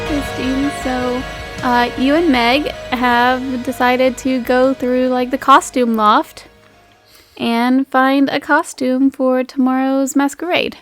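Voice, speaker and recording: High-voiced woman running a tabletop game. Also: flat delivery, slow, faint music behind. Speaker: game master. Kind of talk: casual improvised narration of a tabletop game.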